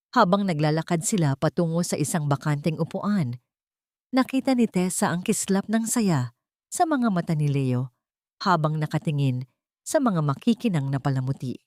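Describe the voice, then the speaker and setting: A woman with a Filipino accent narrating a scripted love story in a measured, solemn, sentimental manner. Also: on the low side, a little mellow, dry recording, studio quality.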